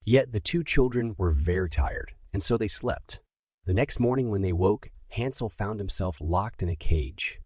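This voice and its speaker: A low-voiced man reads a scripted bedtime story for children. His voice has a husky grain, and he tells the tale deliberately and with drama. The sound is close and dry. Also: lively intonation, a little mellow, no echo.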